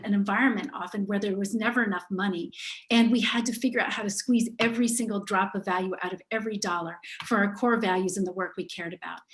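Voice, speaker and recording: A woman's voice: medium pitch, low-quality recording. A middle-aged woman, a candidate for governor, giving an earnest, emphatic prepared statement at a forum. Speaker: gubernatorial candidate.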